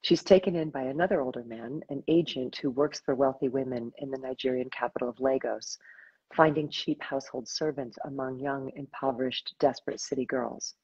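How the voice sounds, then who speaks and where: A woman's voice: extremely low pitch, brisk, darkish tone, slightly rough, muffled recording. An older woman hosting an interview over a video call, speaking with effusive enthusiasm about a novel she loved. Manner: effusive, enthusiastic, laughs often. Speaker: host. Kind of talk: casual interview. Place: home room with plants.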